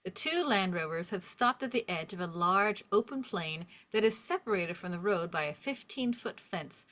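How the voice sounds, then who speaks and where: Bright-voiced woman reading stage directions. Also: fairly low pitch, lively intonation, moderate pace. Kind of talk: scripted narration at a table read. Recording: faint background noise and clicks.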